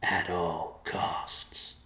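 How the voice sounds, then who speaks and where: Disembodied storybook spirit performed by a man: slow, breathy, whispery, solemn and commanding, low-pitched with echo. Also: flat delivery, fairly bright tone. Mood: ominous, solemn. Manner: slow, ominous, deliberate.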